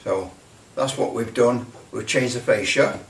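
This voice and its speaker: A man giving a casual hands-on demonstration, halting and thinking aloud as he repeats phrases. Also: mid-range voice. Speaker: presenter of a hobby project. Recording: roomy, distant mic, faint noise.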